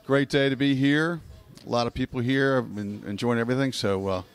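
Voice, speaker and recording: A man's voice: mid-range voice, fairly even intonation, brisk, smooth. A middle-aged DJ speaks easygoing remarks into a handheld mic. His voice sits mid-range. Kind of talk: casual remarks to camera.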